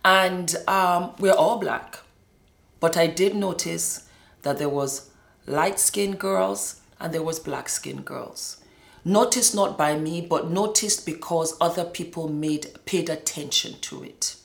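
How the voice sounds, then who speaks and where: Echoey, lower-pitched middle-aged woman, emphatic storyteller. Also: takes it slowly, vocal fry. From Nigeria and Scotland. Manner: emphatic, repeats words for stress. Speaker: former model telling her life story. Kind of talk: personal testimony to an interviewer. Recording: roomy, echoing indoor sound.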